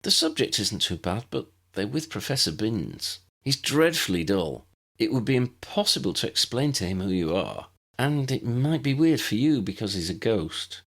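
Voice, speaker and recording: A man voicing a helpful, chatty older schoolgirl prefect in an audiobook, briskly and kindly explaining things. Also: on the low side, sing-song, a bit bright, voice pushed up, heavily acted. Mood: friendly, explaining. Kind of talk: audiobook character voice.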